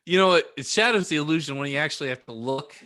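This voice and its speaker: Bright-voiced man in casual chat. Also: on the high side, expressive, fast. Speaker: podcast co-host.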